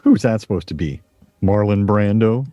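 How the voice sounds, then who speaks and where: A man plays a blunt, plain-spoken friend in a radio play. His mid-pitched voice is mellow and moves up and down in a lively, skeptical grumble. It is recorded dry, with faint music. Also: normal speed, dry recording, dull audio, natural voice. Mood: puzzled, plain.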